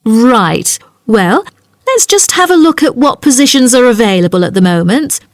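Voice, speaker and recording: A woman's voice: on the high side, sing-song, normal speed, no echo, clean studio sound. A woman playing a polite, helpful job centre agent in a scripted language-test dialogue, courteous and businesslike.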